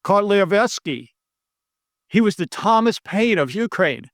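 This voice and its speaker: American economist guy, kinda high voice, dry close sound, keeps pushing the same point. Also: very animated, slow, no echo. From United States. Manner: emphatic, repeats his key claims, stumbles over foreign names. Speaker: monetary economist presenting a video lesson. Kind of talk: earnest persuasive talk to camera. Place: indoor room with brick and stone walls.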